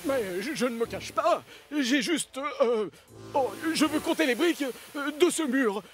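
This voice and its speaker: A man's voice: very high voice, lively intonation, unhurried, soft background music. A man voicing a timid, anxious, apologetic little tram engine in a cartoon, hesitant and flustered. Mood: sheepish. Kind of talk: French cartoon dub acting.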